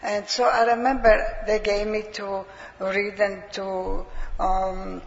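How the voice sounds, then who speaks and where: Italian-accented woman, gravelly, husky testimony; long reverb, muffled recording. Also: mid-range voice, flat delivery, slow. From Italy. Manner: hesitant, searching for words. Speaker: preacher's wife giving testimony. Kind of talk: personal testimony. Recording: dull sound with long hall reverb.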